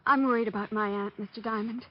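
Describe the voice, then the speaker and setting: An actress plays a refined young heiress in an old radio detective drama. Her voice is fairly high, composed and courteous with a slightly coy air. The recording is muffled and vintage. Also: steady tone, fast, a little hiss or hum, natural voice. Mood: poised, polite. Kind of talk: golden-age radio acting.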